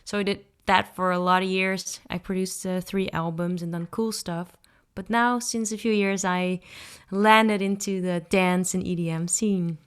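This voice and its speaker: A woman from the Netherlands with a Dutch accent, a singer-songwriter answering interview questions in a relaxed, thoughtful way with frequent ums and restarts. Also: on the low side, measured pace, smooth.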